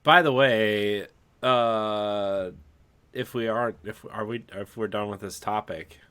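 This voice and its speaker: Male podcast co-host, unhurried and halting, slightly low voice, casual, dry close recording. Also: a bit bright, smooth.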